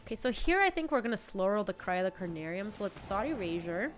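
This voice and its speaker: A woman's voice: medium pitch, sing-song, fast talker, soft background music. A young woman with a stuffy cold, chatting casually as she plays a card game on stream and answers her chat. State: congested with a cold. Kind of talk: casual live-stream commentary. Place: home streaming room, indoors.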